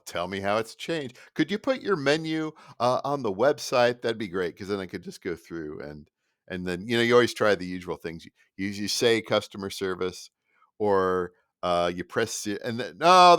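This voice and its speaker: A man's voice: fairly low pitch, moderate pace, no echo, studio quality. A middle-aged man with a Midwestern American accent, hosting a solo podcast and ranting in a rambling, sarcastic way, often cutting himself off and restarting. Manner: rambling, animated, self-interrupting, sarcastic. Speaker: podcast host. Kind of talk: solo rant.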